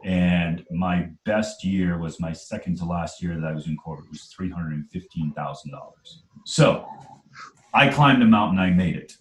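A man's voice: low voice, normal speed, roomy sound, poor audio. A man in his forties from Trinidad, telling his life story as an interview guest in an earnest, emphatic way and often checking in with "right?". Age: 40s. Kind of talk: conversational storytelling.

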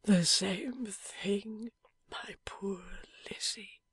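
Woman doing a hurt young husband, faint breathy whisper, slow and tender, dry close sound. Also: mid-range voice, a bit bright, no room sound, pitched above the performer's own voice, full character voice. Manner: slow, halting, affectionate. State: weak from injury. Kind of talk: audiobook character voice.

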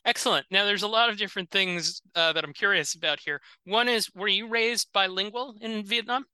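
A man's voice: high-pitched, a little fast, sharp tone, clean tone, no echo, low-quality recording. A man hosting a podcast, asking curious, upbeat questions. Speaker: podcast host. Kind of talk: interview questions.

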